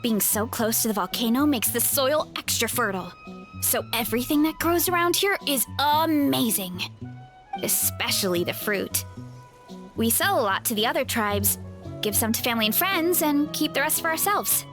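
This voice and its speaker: A cheerful young woman with a big appetite, played by a woman in a video game, friendly and quick to laugh. Her voice sits high and moves in lively swings. Faint game music hums behind her. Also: lightly acted. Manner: bright and friendly, laughs easily. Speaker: game character showing guests an orchard. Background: soft game music.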